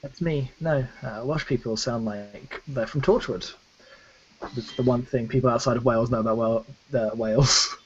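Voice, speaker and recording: A man's voice: mid-range voice, fairly even intonation, normal speed, slight background noise. A man with an English accent giving dry, matter-of-fact replies in a casual live-stream chat. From England. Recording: muffled online call audio.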